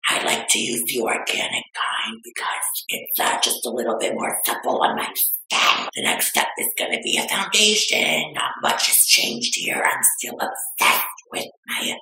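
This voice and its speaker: A man voicing a vain, bawdy aging beauty vlogger woman who claims to be twenty-two, with a breathy, whispery drawl and gossipy theatrical delivery. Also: mid-range voice, huge pitch swings, normal speed, hardly any pauses, pushed and bright, full character voice. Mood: chatty. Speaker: makeup tutorial vlogger. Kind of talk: comedic makeup tutorial. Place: small decorated room indoors. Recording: echoey room.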